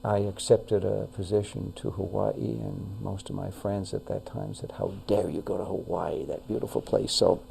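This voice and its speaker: An older man with a New York accent speaks as a guest on a local talk show, his voice low and heavily gravelly. He talks in a measured way, often pausing on fillers and restarting a phrase. A faint mains hum sits under the recording. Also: steady tone, mellow. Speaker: retired pastor, guest. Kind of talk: casual interview talk.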